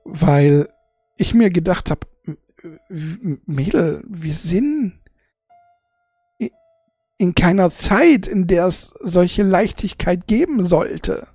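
A forty-year-old speaking off the cuff to an audience in a husky, gravelly voice, halting and repeating words, emotional and blunt with casual swearing. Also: mid-range voice, lively intonation, darkish tone, dry recording, soft background music. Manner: halting, repetitive, searching for words. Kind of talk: unscripted casual monologue to an audience.

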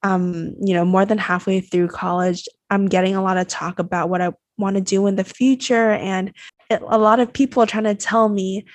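A woman's voice: mid-range voice, steady tone, normal speed, no room sound. A woman in her early twenties from Taiwan, a college student hosting a conversation, reflective and appreciative, with many fillers like "you know" and "um". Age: early 20s. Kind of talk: conversational interview.

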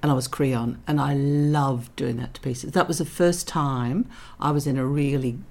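Middle-aged woman guest, very low and gravelly, reflective storyteller with dry wit. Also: steady tone, moderate pace, darkish tone. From Netherlands. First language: Dutch. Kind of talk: candid interview conversation.

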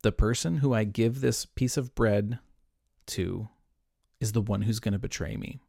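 A quiet, earnest man speaking gently to friends over a meal, voiced by a male Bible teacher. His delivery is low and sincere, with a dry, close recording and no room sound. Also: measured pace, vocal fry, husky, no echo, exaggerated voice. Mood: hushed.

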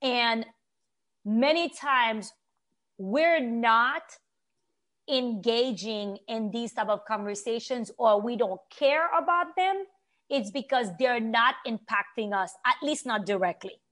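Woman, higher-set voice, earnest and emphatic, conversational opinion talk, from Haiti. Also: expressive, slow, fairly bright tone.

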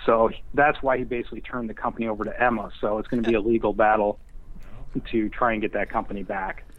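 A man's voice: on the low side, flat delivery, fast. Man on a tinny phone-like line. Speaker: screenwriter guest. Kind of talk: casual interview conversation.

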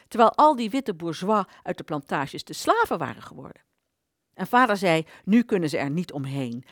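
A woman in a Dutch radio play voicing an aborted idea, a bitter vanished thought, telling its tale dramatically with slow emphases. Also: on the low side, no room sound, studio quality. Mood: grand, fervent.

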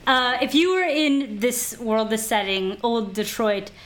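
A woman's voice: fairly high pitch, unhurried. A woman co-hosting a podcast, chatting casually and jumping into the conversation. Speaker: podcast co-host. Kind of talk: casual chat.

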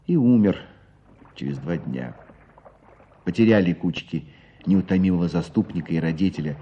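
An actor playing an earnest, compassionate storyteller, narrating village life in a radio drama. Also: male voice, sits low, mellow, breathy edge, full character voice. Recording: dull muffled radio play recording.

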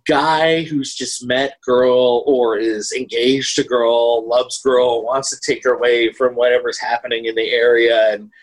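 A man co-hosting a podcast, talking casually about films in a halting, hedging way. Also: medium pitch, level tone, low-quality recording. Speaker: podcast co-host. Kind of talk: casual film discussion.

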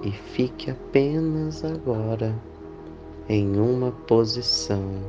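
A man leading a guided meditation, speaking in deliberate phrases with long pauses. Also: mid-range voice, dull audio. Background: clear background music.

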